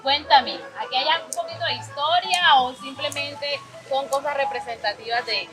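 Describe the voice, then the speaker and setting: A woman's voice: high-pitched, normal speed, low-quality recording. A woman from Palenque, hosting a travel vlog and speaking straight to her viewers. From San Basilio de Palenque, Colombia. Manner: lively, addresses viewers directly. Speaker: travel vlogger host. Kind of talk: casual travel vlog presenting. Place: outdoors in a village. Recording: outdoor recording with loud music and street noise.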